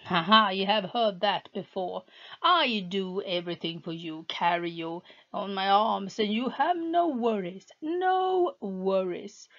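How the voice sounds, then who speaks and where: A woman's voice: mid-range voice, expressive, unhurried, fairly bright tone. A woman reading a condescending, possessive husband. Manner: condescending, sweet-talking. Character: controlling, volatile husband, possessive and cruel. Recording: dull lo-fi recording.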